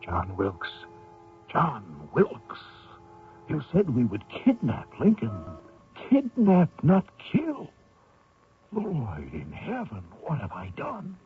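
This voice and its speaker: A man's voice: on the high side, huge pitch swings, slow pace, long pauses, faint music behind, full character voice. An actor playing an anxious young male fugitive, hesitant, polite and evasive, in old-time radio drama style. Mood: anguished. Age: young adult. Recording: noisy lo-fi old radio recording.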